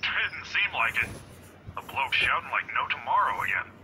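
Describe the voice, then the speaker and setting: A man's voice: medium pitch, animated, moderate pace, very noisy, lightly acted. A man voicing a chatty, kindly, fumbling old security guard in visual novel game dialogue, with a hesitant, forgetful way of talking. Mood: gossipy. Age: older.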